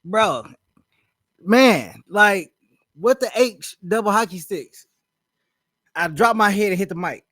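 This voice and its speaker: A man's voice: high-pitched, animated, slow pace, long pauses, bright, forceful tone, no room sound, clean studio sound. A man hosting a reaction video, talking in a loose, joking, slangy way. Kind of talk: casual reaction commentary.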